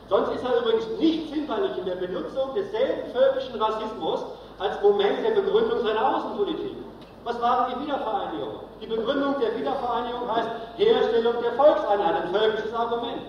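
A man delivering a polemical political lecture as a congress speaker, emphatic and repeating phrases for stress. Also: high-pitched. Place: large hall, live speech. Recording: very echoey hall, distant, dull, faint noise.

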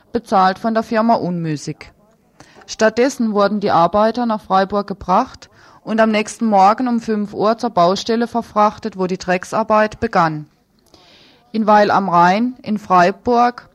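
A woman presenting radio news, reading a scripted report with commentary. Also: medium pitch.

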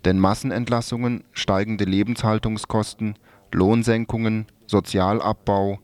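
Male radio presenter, low register, measured scripted delivery, close dry studio sound. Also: darkish tone, no room sound. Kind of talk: scripted radio report, read aloud.

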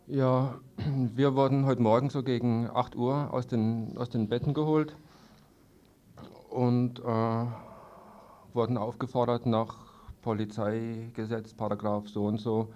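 Male studio guest, halting delivery, flat intonation, dull lo-fi radio tape. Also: mid-range voice. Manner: hesitant, halting. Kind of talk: unscripted recounting in an interview.

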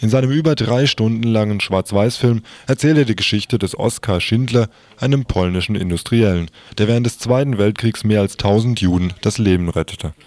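A man's voice: on the low side, brisk, few pauses, a little mellow, no room sound. A man presenting a scripted report on the radio.

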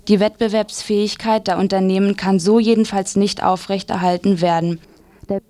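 Woman, radio news reader, mid-range pitch, scripted commentary, very dry recording. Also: darkish tone, no echo.

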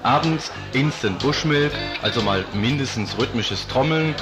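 A man's voice: medium pitch, lively intonation, a little slow. A man playing a chatty local in a scripted radio promo dialogue, speaking colloquially over guitar music. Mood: casual, informative. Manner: colloquial, casual. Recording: loud plucked guitar music behind.

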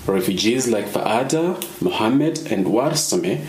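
A man's voice: lowish voice, animated, slow, reverberant, a crowd in the background. A man narrating a scripted news report as a radio reporter.

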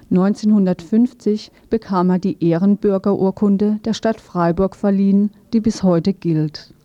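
A woman's voice: mid-range voice, dark tone. A woman presents a scripted radio news feature, reading it aloud in a sober, matter-of-fact way. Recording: dull, roomy lo-fi radio recording.

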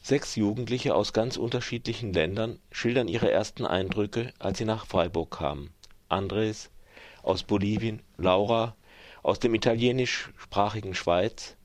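Low-voiced male narrator, dry sound. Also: a little slow, no echo. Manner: even, announcing delivery. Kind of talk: scripted narration.

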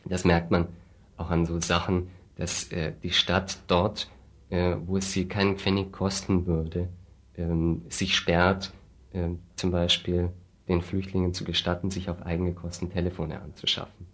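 A man with a very low, husky voice speaks as an interview guest. His pitch barely moves as he explains his points in a hesitant, critical, matter-of-fact way. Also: little pitch movement, unhurried, fairly bright tone. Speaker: interview guest, refugee aid activist. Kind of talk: radio interview, earnest explaining.

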